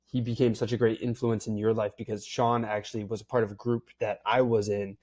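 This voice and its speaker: A man's voice: on the low side, flat delivery, fairly quick, a little mellow. A man hosting a podcast, chatting casually and asking his guest open questions. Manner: friendly, uses fillers, asks open questions. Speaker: podcast host. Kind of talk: casual interview chat.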